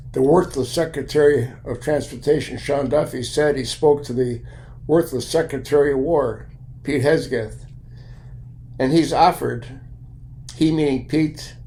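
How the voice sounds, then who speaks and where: An older man with an American accent giving a blunt, opinionated monologue in deliberate, broken-up phrases, with a gravelly voice. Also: medium pitch, mellow. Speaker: video commentator and private pilot. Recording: light background noise.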